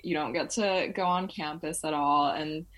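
Young woman guest, low pitched, chatty and loose, lots of "like" and "um". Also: steady tone, normal speed, fairly bright tone, rough recording. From Malaysia. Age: 20s. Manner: chatty, filler-heavy, laughs often. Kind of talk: casual interview chat.

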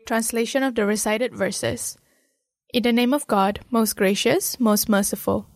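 A woman radio host reading her introduction in a measured, formal way. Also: a little high, creaky, no echo, studio quality. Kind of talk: scripted radio hosting.

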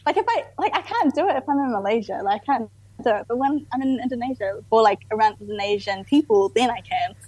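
A young woman guesting on a radio show, talking in a relaxed, casual way with lots of filler words. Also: fairly high pitch, quick pace, breathy edge, dry recording, poor audio.

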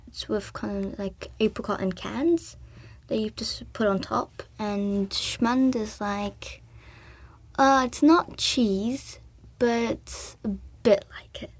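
A woman's voice: medium pitch, a little mellow. 11 yr old girl from belgium teaching a kids baking class, lots of ums, chatty and a bit unsure. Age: 11 years old. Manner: hesitant, many ums, chatty. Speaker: young baking tutor. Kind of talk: casual workshop instruction. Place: community hub with children.

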